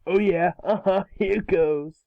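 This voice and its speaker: Man playing a cocky boy, higher-pitched. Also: slow pace, vocal fry, dry recording, phone-quality sound, exaggerated voice. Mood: boastful. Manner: boastful, casual kid slang. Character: cocky, excitable boy turned fire alien. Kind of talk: animated series table read.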